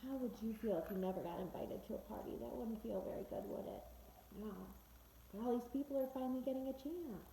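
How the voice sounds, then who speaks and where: A woman with a fairly high, mellow voice reads a children's story aloud. She teaches as she goes, turning to her young listeners with simple questions. The recording sounds echoey, as in a reverberant room. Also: a little fast, gravelly. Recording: echoey room with faint background noise.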